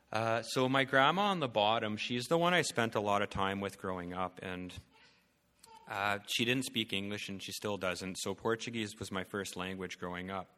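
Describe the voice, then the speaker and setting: A man presenting to a church about his family and calling, speaking haltingly with many fillers and restarts. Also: lowish voice, sharp tone, in a large hall. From Canada.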